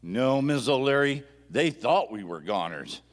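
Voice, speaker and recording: A folksy lawman acted by a man on a radio play stage. His mid-pitched trips into repeated words, and the theatre gives it a long echo. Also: animated, unhurried, natural voice. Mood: grave. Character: folksy Old West sheriff. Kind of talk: live Western radio play acting. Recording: echoey theatre hall.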